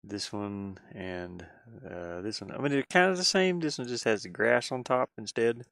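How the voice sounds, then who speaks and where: A man chatting casually like a streamer, thinking aloud in a halting, rambling way. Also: fairly low pitch, animated, gravelly, a bit bright, no room sound, studio quality. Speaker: streamer and hobby game designer. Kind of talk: casual rambling livestream chat.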